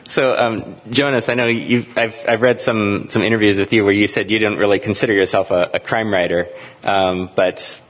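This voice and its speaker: A man moderating a panel, asking measured, hesitant questions. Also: fairly low pitch, steady tone, long reverb tail. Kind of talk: interview questions.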